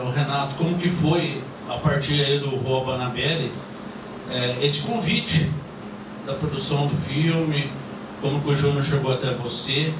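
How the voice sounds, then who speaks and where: Echoey far-off man hosting a panel. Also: fairly high pitch. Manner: rambling, many fillers. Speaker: host. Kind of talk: moderating a panel talk. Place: small cinema club room. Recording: echoey room, mic from far off, noisy.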